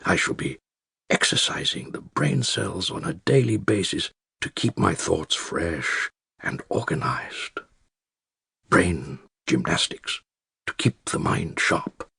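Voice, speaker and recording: An actor playing an elderly male care-home resident with a British accent, narrating his secret diary in a dry, wry, measured and articulate way with a gravelly, husky voice. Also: sits low, sharp tone, dry recording, exaggerated voice. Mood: reflective, resolute. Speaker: audiobook narrator. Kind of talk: scripted first-person diary narration.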